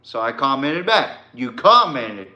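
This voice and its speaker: A man hosting an informal sports podcast, ranting bluntly and mockingly in a halting way with repeated words. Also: medium pitch, big pitch swings, pushed and bright, reverberant, highs cut off. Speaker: podcast host.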